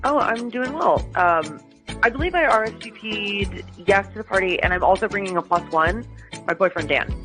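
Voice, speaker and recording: A woman's voice: medium pitch, lively intonation, normal speed, fairly bright tone, soft background music. A woman answering a phone call, chatting casually in an agreeable, easygoing way.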